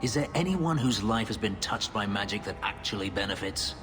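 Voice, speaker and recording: An actor playing a brooding, bitter elf warrior who was once a slave, speaking in a grave, measured way. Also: male voice, lively intonation, close to an everyday voice. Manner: low, grave, measured delivery. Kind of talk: video game voice acting. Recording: long reverb under game music.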